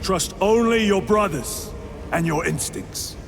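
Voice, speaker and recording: A man's voice: high-pitched, slow pace, noisy background. An actor voicing a stern male warrior officer who briefs his squad in clipped, commanding sentences.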